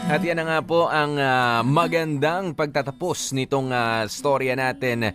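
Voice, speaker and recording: Filipino guy hosting a radio letter show, taglish, medium pitch, bit of music behind him. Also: a little slow. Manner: code-switches between Tagalog and English. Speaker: radio host reading a listener's letter and commenting. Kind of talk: radio advice show reading and casual banter.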